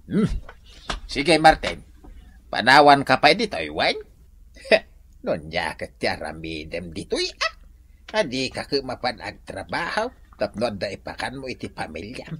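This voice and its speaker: Radio drama elder: disapproving father-in-law, Ilocano accent, forceful tone, lively swings in pitch. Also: unhurried, slightly rough, full character voice. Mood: pointed, disapproving. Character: older father-in-law, pointed, boastful, disapproving of his son-in-law.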